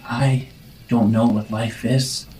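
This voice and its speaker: A man voicing a sinister old woman, a virus haunting a mind, speaking slowly and menacingly in a tabletop game. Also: medium pitch, level tone, mellow, echoey room, far from the mic, slight background noise, natural voice, low-quality recording. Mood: cold, eerie. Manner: slow, menacing, deliberate. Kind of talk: tabletop roleplay villain.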